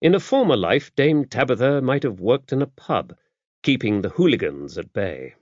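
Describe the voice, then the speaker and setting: A man's voice: medium pitch, sing-song, normal speed, a little mellow, dry recording, lo-fi sound. A man narrates scripted mystery fiction for an audiobook. His mid-range voice moves up and down a good deal. The sound is dry, with no room around it.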